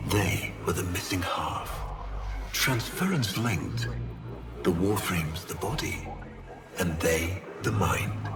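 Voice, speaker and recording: An actor playing a cruel, pompous aristocratic villain in a video game, delivering breathy, deliberate theatrical monologues. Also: male voice, low-pitched. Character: cruel, grandiose male aristocratic villain, coldly taunting.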